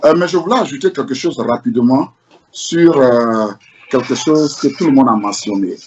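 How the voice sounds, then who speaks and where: A man's voice: medium pitch, moderate pace, highs cut off, slight background noise. A man organizing a diaspora protest, making an impassioned political appeal with insistent repeated phrases. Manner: repetitive, rising rhetorical insistence.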